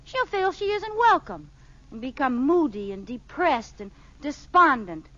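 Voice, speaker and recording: An actress playing a nosy, scatterbrained suburban housewife in a 1940s radio sitcom, theatrical and mock-innocent. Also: female voice, sits high, very animated, unhurried, a bit bright, no echo, heavily acted. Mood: mock earnest. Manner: theatrical, coy, mock-innocent comic delivery. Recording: muffled, noisy old radio broadcast recording.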